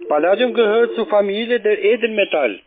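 Male cold caller, non-native speaker, unusually high voice, tinny line. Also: a little fast. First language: not German. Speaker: telemarketer. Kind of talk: phone sales pitch. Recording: tinny narrow phone line.

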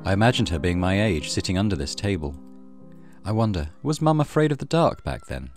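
Deep-voiced man reading game narration. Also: sing-song, brisk, darkish tone, faint music behind. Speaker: let's play host. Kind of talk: reading game narration aloud, with casual commentary.

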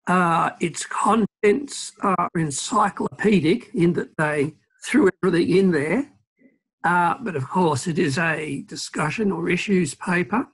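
A husky-voiced man in his sixties speaks slowly and deliberately over a webcam from a home office. His pitch sits a little high for a man, and he fills his pauses with "uh". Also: a bit bright, rough recording. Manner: measured, many "uh" pauses. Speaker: environmental campaigner, panelist. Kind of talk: panel discussion answer. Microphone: video call webcam.

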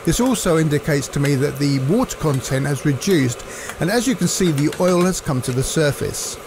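Gravelly man presenting a recipe. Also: a little high, lively intonation, moderate pace, noisy background. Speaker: cooking presenter. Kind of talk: instructional recipe narration.

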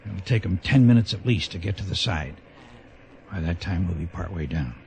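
Ship's captain, radio play: low gravelly voice, terse and commanding, clear background noise. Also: male voice, animated, moderate pace, a little mellow. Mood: urgent, steady. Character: decisive, gruff ship's captain leading his crew's escape. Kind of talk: radio drama acting.

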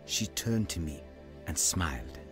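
A man's voice: low-pitched, big pitch swings, unhurried, gravelly, soft background music, full character voice. An actor playing an earnest, weary evangelist who tells his life story in a radio drama. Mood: reflective. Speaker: actor narrating and playing a preacher. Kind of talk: radio drama acting and first-person narration.

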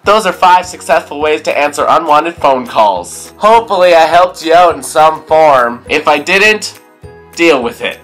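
A young man presenting a comic tutorial to camera in a small bedroom, with an animated, jokey manner. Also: fairly high pitch, a little slow, faint music behind, poor audio. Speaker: video blogger.

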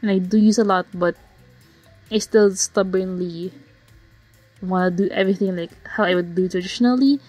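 A slightly hoarse woman casually narrating her digital painting process, rambling with fillers and self-corrections. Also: mid-range voice, steady tone, moderate pace, pauses often, darkish tone, soft background music. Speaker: digital artist narrating a speedpaint. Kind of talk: casual voiceover commentary. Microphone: home voiceover mic.

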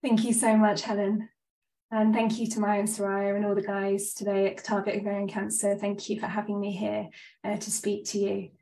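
A woman's voice: mid-range voice, completely flat delivery, moderate pace, mellow, reverberant, far from the mic, slight background noise, rough recording. A woman in her thirties, a GP, giving a webinar talk while a bit stuffed up and breathless, earnest with frequent ums. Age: 30s. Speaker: GP giving a presentation. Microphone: video call.

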